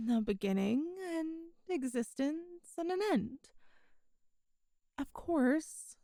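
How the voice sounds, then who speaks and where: A woman voicing an ancient female demon in an ASMR audio roleplay, tender, wise and regretful, speaking softly and intimately with sighs and hesitations. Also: high voice, creaky, dry recording, clean studio sound, exaggerated voice. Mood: explanatory, hesitant. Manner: soft, hesitant, intimate, sighing.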